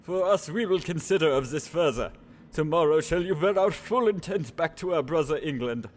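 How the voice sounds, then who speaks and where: A man acts a regal, wary French king in a Shakespearean radio play. His voice is high for a man, solemn and declamatory, with faint music behind it. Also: expressive, moderate pace. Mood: regal, measured. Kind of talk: Shakespearean radio theater acting.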